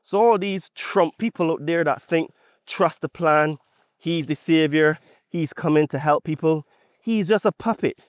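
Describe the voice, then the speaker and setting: A man's voice: on the high side, a little slow, no echo, studio quality. A man from Barbados with a Bajan accent gives an opinionated podcast monologue, conversational and emphatic, often checking his points with a tag question. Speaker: podcast host.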